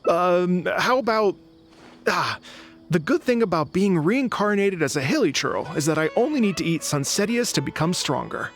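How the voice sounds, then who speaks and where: Animated, polite bookshop clerk. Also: female voice, fairly low pitch, normal speed, faint music behind. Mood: hesitant. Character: polite, eager bookshop clerk, helpful but easily flustered. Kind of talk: anime-style video game voice acting.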